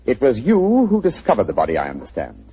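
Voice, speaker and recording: An actor playing a calm, incisive detective in a 1940s radio drama, measured and precise. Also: male voice, mid-range voice, a little hiss or hum. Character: calm, incisive detective, middle-aged man. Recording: muffled old radio recording.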